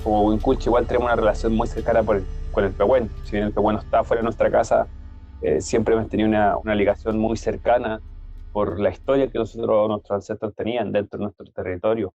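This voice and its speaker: A man from Chile who belongs to a Mapuche community, calmly and steadily explaining traditions in a documentary interview. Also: fairly low pitch, fast talker, muffled recording, soft background music.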